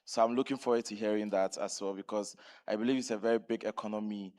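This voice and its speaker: A man's voice: lowish voice, steady tone, fast talker, a little mellow. A man from Ghana speaking up from the audience at a forum. He talks in an earnest, enthusiastic way. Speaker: audience member. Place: large conference hall. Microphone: handheld microphone.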